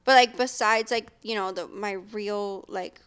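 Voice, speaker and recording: A woman's voice: medium pitch, a little slow, fairly bright tone, clean tone. A woman in her twenties chatting casually with a friend, using lots of fillers and laughing easily. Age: 20s. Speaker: young mom in a casual chat. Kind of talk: casual chat.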